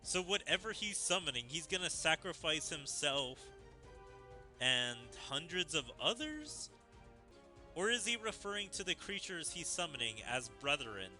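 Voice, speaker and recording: A man's voice: on the high side, sing-song, a little slow, pushed and bright. A man giving casual commentary as he plays through a story game, with game music behind him. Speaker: gaming streamer reading story dialogue aloud.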